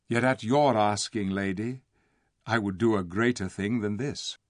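A man voicing a proud, noble king in a storybook reading, speaking in a formal, measured, old-fashioned manner. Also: on the low side, no room sound, studio quality, lightly acted. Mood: courtly. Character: noble young king, courtly, proud and stern.

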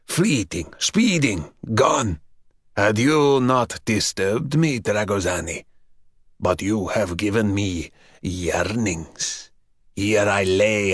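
A man voicing an ancient buried male vampire who speaks in slow, mocking, archaic phrases. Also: on the low side, fairly bright tone, no room sound, clean studio sound, voice pushed down. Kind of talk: audiobook character voice.

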